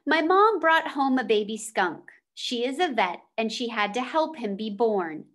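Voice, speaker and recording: A woman's voice: on the high side, animated, measured pace, fairly bright tone. A woman voicing an earnest, literal-minded young schoolboy in a children's storybook, with precise wording. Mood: earnest, hopeful.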